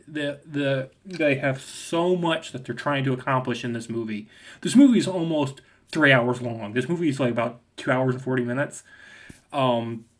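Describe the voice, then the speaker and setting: A young man with a slight cold rambles through a casual video review rant, full of fillers and restarts, as a movie reviewer and streamer. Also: medium pitch.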